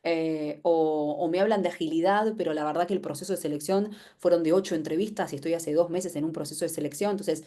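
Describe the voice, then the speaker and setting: A woman's voice: sits low, fast talker, few pauses, dark tone. A woman with an Argentine accent gives an informal explanatory webinar talk, conversational, posing questions and voicing imagined remarks. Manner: conversational, poses rhetorical questions, acts out imagined quotes. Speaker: webinar presenter.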